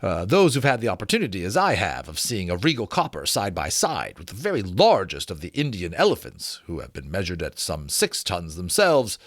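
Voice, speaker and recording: Guy doing a stuffy gentleman naturalist from a storybook, formal n emphatic, voice goes up and down loads, dry sound. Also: low-pitched, huge pitch swings, fairly quick, few pauses, dry recording, pitched below the performer's own voice, full character voice. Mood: pompous, indignant. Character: pompous learned Georgian gentleman scholar writing on dragons. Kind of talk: dramatic storybook reading.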